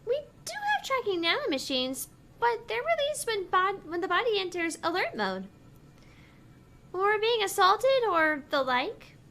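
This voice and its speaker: Very high, bright, cutesy android girl. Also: very animated, unhurried, creaky, heavily acted. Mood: matter-of-fact. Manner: cutesy, forward, teasing.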